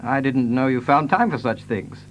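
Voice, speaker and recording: An actor playing a frank, courteous gentleman heir in an audio drama, plain-spoken and trusting. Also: mid-range voice, expressive, moderate pace, lo-fi sound, slight background noise, natural voice. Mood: frank and agreeable.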